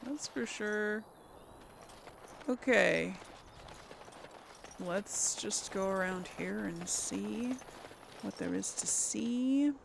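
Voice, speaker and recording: A woman's voice: medium pitch, very slow, gravelly, long pauses, slight background noise. A woman giving casual, chatty game commentary, talking to herself and to the characters as she plays. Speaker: let's play host. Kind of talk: casual live gameplay commentary. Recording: game music under the voice. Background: video game music.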